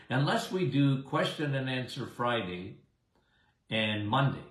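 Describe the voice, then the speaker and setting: Middle-aged male host, big voice, unhurried and chatty, slight Canadian accent, roomy sound. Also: lowish voice, fairly bright tone. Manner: chatty, rambling, says "okay?" and "guys" often. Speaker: health show host. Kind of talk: casual live health talk. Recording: roomy echo.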